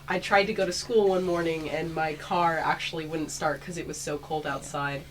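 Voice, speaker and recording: A woman's voice: sits low, fairly even intonation, moderate pace, low-quality recording. A young woman from the United States chatting casually with dry humor. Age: young adult. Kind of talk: casual group chat.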